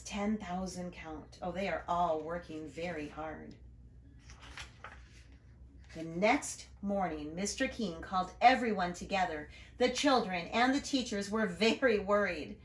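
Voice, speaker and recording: A woman teacher reading a children's storybook aloud with expressive, deliberate emphasis and chatty asides. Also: on the low side, roomy sound.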